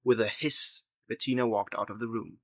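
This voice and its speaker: A man's voice: medium pitch, fairly even intonation, moderate pace, very noisy. A man reading scripted narration for an audio drama.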